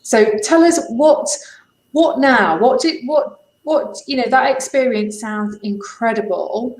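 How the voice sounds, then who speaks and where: A woman's voice: a little high, takes it slowly. A woman hosts a casual live interview in an upbeat way. She often restarts her questions partway through. Her voice rings with a roomy echo. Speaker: host.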